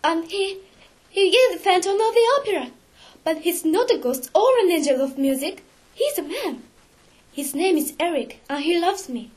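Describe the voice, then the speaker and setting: A woman's voice: high voice, lively intonation, normal speed, a little mellow. A woman voicing a frightened, anguished young woman in an audiobook story, speaking urgently and dramatically. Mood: urgent pleading.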